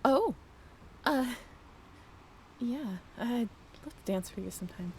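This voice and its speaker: A woman's voice: fairly high pitch, expressive, slow pace, darkish tone, slight background noise. A woman playing a friendly, flustered young former college roommate in a romantic audio roleplay, talking in a bashful, hesitant, giggly and apologetic way. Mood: shy, warm. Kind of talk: romantic audio roleplay, naturalistic one-sided conversation.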